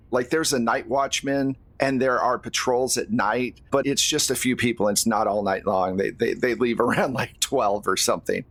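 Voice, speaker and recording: A man's voice: mid-range voice, a bit bright, dry recording. A man running a tabletop roleplaying game, narrating and explaining in a conversational, improvised way. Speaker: game master.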